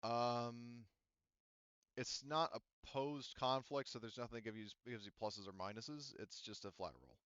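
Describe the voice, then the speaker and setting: A man's voice: mid-range voice, steady tone, unhurried, fairly bright tone, clean tone, dry recording, muffled recording. A man running a tabletop roleplaying game as game master, rambling and hesitant with many fillers and restarts.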